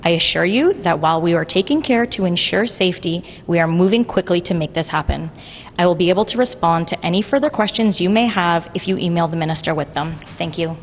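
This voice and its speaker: A woman, a press secretary reading a prepared statement in a formal, measured way in an office hallway. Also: on the low side. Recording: lo-fi with long reverb and faint hiss.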